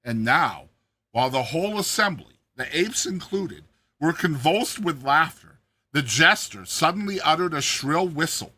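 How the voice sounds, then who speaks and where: A man narrating a classic short story as a dramatized reading, with theatrical and deliberate phrasing. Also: a little high, bright, forceful tone.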